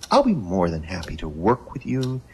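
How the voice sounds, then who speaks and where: A man's voice: deep voice, wildly swooping pitch, moderate pace, a little mellow, a little hiss or hum, exaggerated voice. A man playing a stubborn, wisecracking reporter who hunts monsters in an audio drama, with a cheeky, wheedling manner. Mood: cheeky, persuasive. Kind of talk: audio drama acting, with first-person noir narration.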